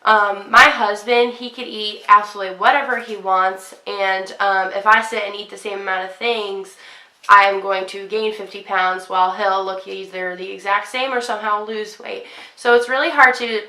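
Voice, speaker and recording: A woman's voice: medium pitch, fairly even intonation, moderate pace, pushed and bright. A young woman with a congested voice, chatting casually to camera about her fitness week, with plenty of ums. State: congested, sick. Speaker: fitness vlogger. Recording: echoey room, poor quality.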